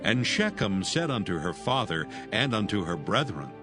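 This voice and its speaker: A man reading scripted scripture narration in a measured, solemn manner. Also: on the low side, very animated, over music.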